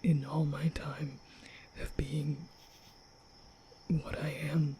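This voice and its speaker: A man playing a nervous, kind-hearted young vampire in an ASMR roleplay, speaking softly and often whispering, with hesitant, flustered stammering. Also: a little high, flat delivery, very slow, a little hiss or hum. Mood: hesitant, softened. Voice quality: soft, breathy, often whispering.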